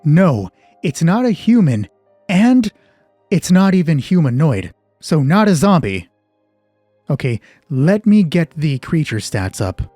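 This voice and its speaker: A man's voice: on the high side, big pitch swings, slow pace, warm tone, dry recording, faint music behind. A man narrating a solo tabletop roleplay as game master, thinking aloud in a conversational way.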